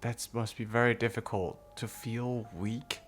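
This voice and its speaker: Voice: mid-range voice, fairly even intonation, measured pace. A game streamer giving casual, spontaneous reaction commentary while playing through a story. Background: game music.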